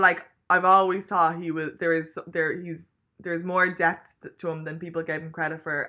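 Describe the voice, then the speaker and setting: A woman's voice: on the low side, bright, forceful tone. A young woman in casual, swearing chat, laughing often. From Ireland.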